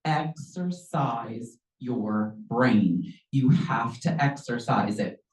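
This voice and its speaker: A woman's voice: extremely low pitch, expressive, extremely slow, a little mellow, distant mic. A middle-aged woman presenting a lecture on learning, chatting along with many ums and acting out little dialogues as a language teacher. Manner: conversational, many ums, acts out quoted dialogue. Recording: echoey room, mic from afar.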